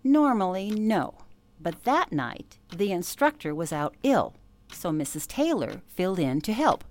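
A woman's voice: on the low side, big pitch swings, unhurried, darkish tone. An actress playing an older former switchboard operator who recalls her youth with emphasis, in a radio play interview. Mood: reflective.

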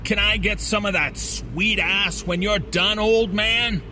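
A man's voice: high voice, slow pace, bright, forceful tone, slight background noise, voice pushed up, heavily acted. A man voicing a drunk teenage boy who heckles crudely and mockingly in an audio horror story. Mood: drunken mocking.

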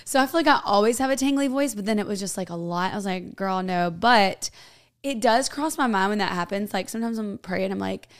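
A young woman with a raspy, gravelly voice, chatting animatedly as a podcast co-host while getting over hoarseness. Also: mid-range voice, a little fast. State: hoarse, recovering voice. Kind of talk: casual chat.

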